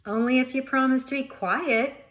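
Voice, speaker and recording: A woman's voice: sits high, fairly even intonation, moderate pace, fairly bright tone, pitched above the performer's own voice. A woman voicing a young boy bear, a sensitive and earnest big brother, in an emphatic storybook reading. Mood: sulky, cautious.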